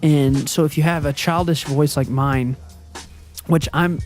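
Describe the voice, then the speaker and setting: A man with a high, boyish-sounding voice records a casual voiceover update. He wanders from point to point, pausing on ums and correcting himself mid-sentence, with soft music playing faintly behind him. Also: fairly even intonation. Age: adult. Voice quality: high-pitched, youthful-sounding voice. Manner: rambling, hesitant, many ums and self-corrections. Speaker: channel owner and video editor. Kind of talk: casual channel update monologue. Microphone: voiceover recorded before the gameplay footage.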